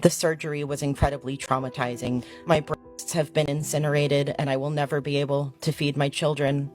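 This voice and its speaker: Woman with a deepened voice, measured solemn testimony, soft music bed. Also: little pitch movement. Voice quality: deepened voice, cannot sing or raise it. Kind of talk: read-out personal testimony.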